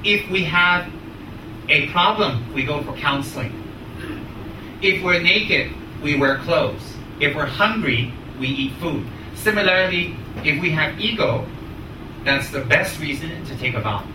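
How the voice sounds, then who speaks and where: Male dharma teacher, American accent, emphatic phrasing, echoey room with clear background noise. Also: fairly high pitch, lively intonation, distant mic. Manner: emphatic, deliberate, short repeated phrases. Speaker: Buddhist teacher. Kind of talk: spoken teaching with questions to students.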